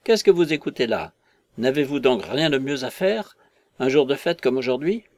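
A man's voice: mid-range voice, big pitch swings, moderate pace, no room sound, natural voice. A man voicing a stubborn innkeeper's wife who scolds the men for eavesdropping. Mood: scolding. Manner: scolding, contrary.

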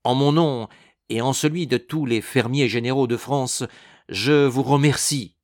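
A man's voice: mid-range voice, measured pace, no echo, studio quality, lightly acted. A man voicing a proud, vengeful financier with a curt, pompous manner in a storybook reading. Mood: formal gratitude.

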